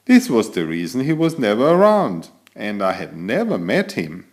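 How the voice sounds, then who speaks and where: A man's voice: fairly low pitch, huge pitch swings, moderate pace, a little mellow. A man voicing an elegant, vain tomcat who chats theatrically to young listeners while telling a children's picture-book story. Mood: surprised. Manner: self-admiring, theatrical, addresses young listeners directly.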